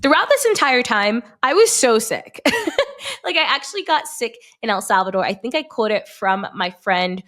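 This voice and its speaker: A woman's voice: fairly high pitch, sing-song, normal speed, a bit bright, soft background music. A young woman hosting a solo podcast in a casual, chatty way, with emphatic wording and girly slang. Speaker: podcast host.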